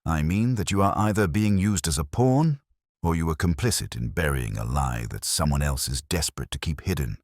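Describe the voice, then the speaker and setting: A man's voice: very deep voice, lively intonation, moderate pace, a little mellow, no room sound, clean studio sound, full character voice. A man voicing a cool, relentless detective in a storybook mystery, questioning in a level, precise manner. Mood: stern. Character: cool, incisive male detective, relentless and commanding. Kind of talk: audiobook detective story dialogue.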